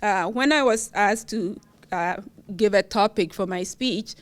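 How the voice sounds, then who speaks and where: A woman's voice: mid-range voice, measured pace. Professor, woman, middle-aged, Ghanaian accent; podium acceptance speech, cheerful, fillers and laughs. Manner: warm, laughs often, with fillers. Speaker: award-winning professor giving acceptance speech. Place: university event hall.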